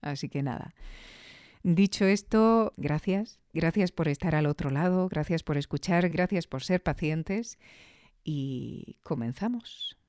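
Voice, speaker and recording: A woman from Spain with a Spanish accent, talking reflectively and conversationally as a podcast host, pausing thoughtfully for emphasis. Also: sits low, expressive, a little mellow, dry recording, studio quality. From Granada, Spain.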